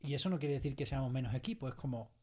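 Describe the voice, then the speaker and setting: A man's voice: medium pitch, rapid-fire, warm tone. A man with an accent from Spain speaking casually and emphatically in an interview, repeating himself and acting out bits of dialogue. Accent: Spanish from Spain. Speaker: audio series creator being interviewed. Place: recording studio.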